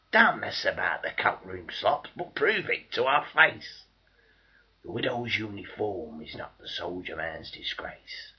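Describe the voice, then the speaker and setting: Male performer as Victorian British soldier, dramatic verse recital, bitter and mocking, gravelly, wildly animated delivery, lo-fi sound. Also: on the low side, heavily acted. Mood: indignant. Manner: theatrical, swinging from indignant shouts to mocking whispers. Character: aggrieved, bitterly sarcastic common British soldier of Victorian times.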